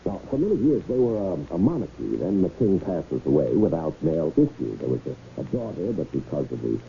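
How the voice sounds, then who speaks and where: An actor playing a smooth, scheming gentleman con artist in a radio drama, with courtly, halting salesman's patter. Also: low voice, big pitch swings, heavily acted. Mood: smooth pitching. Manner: hesitant, courtly salesman's patter with deliberate pauses. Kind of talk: 1970s radio drama acting. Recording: muffled, faintly noisy old radio broadcast.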